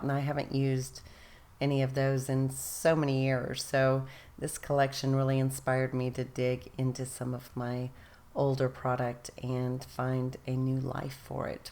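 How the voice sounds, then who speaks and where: A woman's voice: rumbling low, monotone, measured pace, darkish tone. A woman host narrating her scrapbooking process in a casual, rambling way, losing her voice and straining it, often correcting herself. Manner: rambling, self-correcting, chatty.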